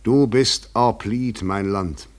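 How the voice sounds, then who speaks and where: An actor in a German radio play, playing an insistent, eloquent, poetic stranger who has swum out of the sea, speaking in a formal theatrical way. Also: male voice, lowish voice, slow pace, a little mellow, dry recording. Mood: solemn, storytelling.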